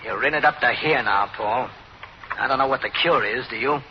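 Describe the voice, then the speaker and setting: Actor as terse police captain, muffled old radio. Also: male voice, mid-range voice, fairly quick, a little hiss or hum, natural voice. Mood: stern. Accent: American. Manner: terse, matter-of-fact. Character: steady male police captain, weary, plain-spoken narrator. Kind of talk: old-time radio police drama acting and narration.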